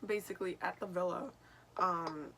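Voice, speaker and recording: A young woman, raspy from just waking up, tells a story to her channel in a chatty, meandering way and often corrects herself mid-sentence. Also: on the low side, fairly even intonation, moderate pace, background noise. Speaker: vlogger and spiritual medium. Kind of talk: casual storytelling to camera.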